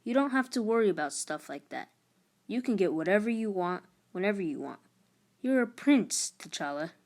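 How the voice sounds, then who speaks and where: A woman's voice: mid-range voice, animated, moderate pace, darkish tone, lightly acted. Woman reading as a proud, defiant schoolboy. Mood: resentful. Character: proud, status-hungry middle-school boy, dismissive of friends.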